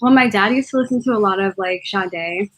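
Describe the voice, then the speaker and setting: A woman's voice: mid-range voice, fairly quick, a bit bright, slight background noise. A woman in her twenties from Connecticut, a music artist chatting casually as an interview guest. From Connecticut, United States.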